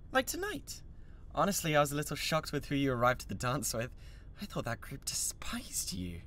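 A man playing an obsessive, animated British yandere classmate. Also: mid-range voice, a little hiss or hum. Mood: soft, creepy. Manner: halting, breathy laughs, swinging between shy murmurs and manic outbursts. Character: obsessive young male yandere classmate, awkward, lovesick, menacing. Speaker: voice actor. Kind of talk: dramatic ASMR roleplay monologue.

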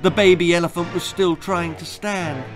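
A man in his sixties reads a children's tale in a theatrical, expressive and deliberate way. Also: high voice, music in the background. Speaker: author reading his own stories. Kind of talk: dramatic children's storytelling, scripted narration. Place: small book-lined room indoors.

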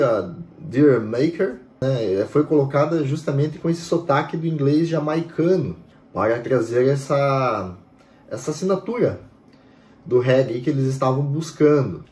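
Man explaining in an echoey room. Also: mid-range voice. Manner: steady, explanatory, frequent "né" fillers. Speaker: music history channel host. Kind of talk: explanatory talk to camera. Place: home room with bookshelves.

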